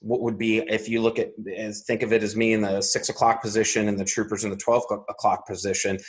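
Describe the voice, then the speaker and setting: Low-voiced man in his forties, measured. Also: little pitch movement. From Arizona. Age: 40s. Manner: measured, methodical, step-by-step telling. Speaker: guest. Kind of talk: recounting a personal story.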